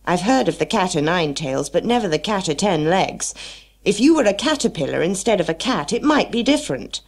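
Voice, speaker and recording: A woman's voice: on the low side, big pitch swings, brisk, voice pushed up. Woman playing an emphatic, eccentric Mad Hatter. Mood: argumentative. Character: Mad Hatter, eccentric, fussy, assertive male tea-party host.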